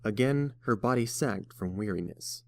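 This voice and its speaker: Low-voiced, dry-sounding male narrator. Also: normal speed, no echo. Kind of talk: scripted storybook narration.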